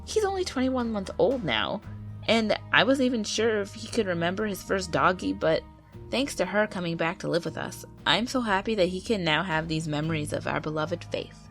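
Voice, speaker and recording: A woman's voice: fairly low pitch, expressive, normal speed. Stuffy-nosed woman telling ghost stories. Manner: gentle, earnest storytelling. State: stuffy nose from a cold. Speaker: YouTube ghost story narrator. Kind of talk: reading true ghost stories aloud. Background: faint background music.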